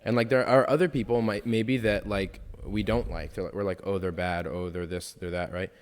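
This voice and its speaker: A young man with a low voice talks earnestly on a casual podcast, reflecting on ideas and sometimes acting out other people's words. His voice carries a long reverb from the room. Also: fairly even intonation, brisk. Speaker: podcast co-host.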